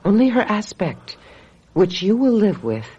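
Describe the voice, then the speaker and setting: An actress playing a vengeful, scheming woman who calls herself a witch, with deliberate, mock-sweet menace in an old-time radio mystery style. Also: mid-range voice, sing-song, dark tone, slightly rough, highs cut off. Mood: coldly triumphant.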